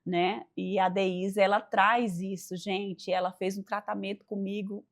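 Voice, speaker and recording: A woman's voice: medium pitch, flat delivery, measured pace. A woman hosting a faith-based interview, enthusiastic and preacherly, often exclaiming "uau" at her guest's answers. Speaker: host.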